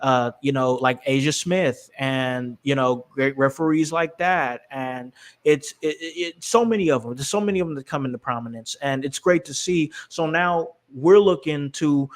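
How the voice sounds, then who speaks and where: A man's voice: medium pitch, moderate pace. A man hosting a wrestling talk stream, chatting casually with lots of restarts and fillers. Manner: rambling, many restarts and fillers. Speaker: podcast host. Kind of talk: casual livestream chat.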